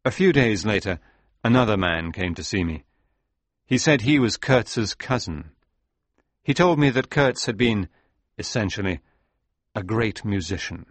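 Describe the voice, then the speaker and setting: A man acting as a sombre sailor looking back on his past in an audiobook. His voice is very low and sits close and dry, and he tells his story with grave, measured care. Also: expressive, no echo. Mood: reflective, sombre. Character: Marlowe, sombre reflective male sailor recounting his past. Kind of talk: dramatised audiobook narration.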